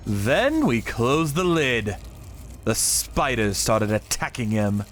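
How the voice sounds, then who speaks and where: Slow, animated male story narrator. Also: medium pitch, faint music behind. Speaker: narrator of a manga channel story. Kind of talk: dramatic first-person scripted narration.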